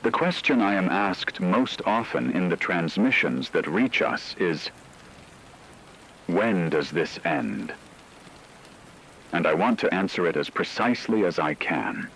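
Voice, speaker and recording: A man playing a grave, blunt expert who gives a scripted disaster briefing on radio in a measured, precise and sober way, with noise behind him. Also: low voice, animated, fairly bright tone, exaggerated voice, low-quality recording.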